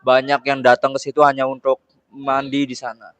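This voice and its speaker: Calm young man from Tegal. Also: mid-range voice, moderate pace, clean tone, no room sound. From Tegal, Central Java. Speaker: guest. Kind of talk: casual interview answers.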